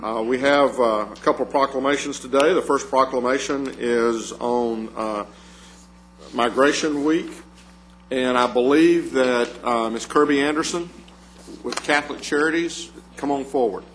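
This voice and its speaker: A man's voice: mid-range voice, slight background noise. Man chairing a county meeting. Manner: measured, formal reading cadence. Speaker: county judge chairing the court. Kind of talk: formal meeting procedure and proclamation reading.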